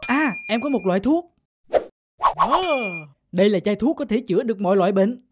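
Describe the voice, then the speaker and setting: A woman's voice: mid-range voice, animated, normal speed, heavy background noise, natural voice. A woman voicing a helpful, sensible cartoon pencil in an eager, explanatory manner.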